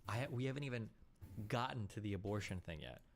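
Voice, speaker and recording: A man in an online debate, calmly probing with questions. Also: on the low side, brisk, a little hiss or hum.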